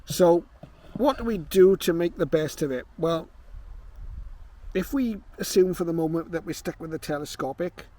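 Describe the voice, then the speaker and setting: A man's voice: fairly high pitch, normal speed, mellow, no room sound. A man hosting a casual hobby tutorial, explaining things in an informal way. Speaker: host demonstrating a shortwave radio.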